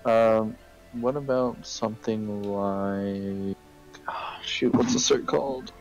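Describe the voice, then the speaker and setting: A low-voiced man with a gravelly creak talks casually on a game stream. He is halting and repeats words as he goes, with faint game music behind him. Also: steady tone, muffled recording. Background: video game music.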